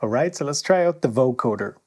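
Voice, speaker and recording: Loud synthesizer music plays behind a man with a mid-range voice. He speaks casually as a reviewer, presenting and demonstrating as he goes. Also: medium pitch, lively intonation, brisk. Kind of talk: casual product demo talk. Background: synthesizer demo sounds.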